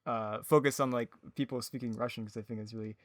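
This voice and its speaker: A man's voice: mid-range voice, fairly even intonation, fast talker, no room sound, studio quality. A man in a casual podcast chat, talking in a hesitant, rambling way with many filler words. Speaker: audio drama creator, podcast speaker.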